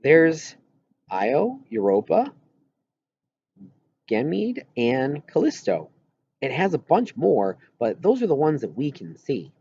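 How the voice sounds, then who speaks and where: A man reading an audiobook, voicing an earnest boy who eagerly explains things and has a quietly wistful streak. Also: on the high side, huge pitch swings, slow, creaky, long pauses, breathy edge, muffled recording, pitched above the performer's own voice, full character voice. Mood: eager, explaining. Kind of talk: audiobook character dialogue.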